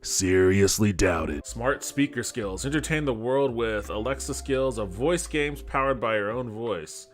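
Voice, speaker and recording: A man's voice: medium pitch, measured pace, hardly any pauses. A man giving casual, critical commentary as a podcast host, with a skeptical, sarcastic way of talking. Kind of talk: casual critical commentary, reading web copy aloud. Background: background music.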